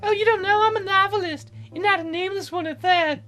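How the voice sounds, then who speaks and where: A woman's voice: very high voice, fast, a bit bright, faint music behind, pitched above the performer's own voice. A woman voicing a grieving, haughty widowed novelist in a visual novel, with a dramatic, proper manner. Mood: proud, indignant.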